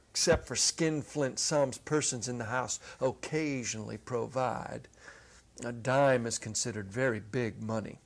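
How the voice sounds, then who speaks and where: Male narrator, middle-aged, mid-pitched voice, measured and expressive literary reading. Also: gravelly. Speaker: narrator, dramatic reader. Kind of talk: dramatic reading of a literary short story. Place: indoor studio set. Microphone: lapel.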